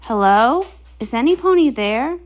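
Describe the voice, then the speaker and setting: A woman's voice: a little high, expressive, unhurried. A woman voicing a kindly, practical, plucky travelling healer pony in a comic read-along. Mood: eager.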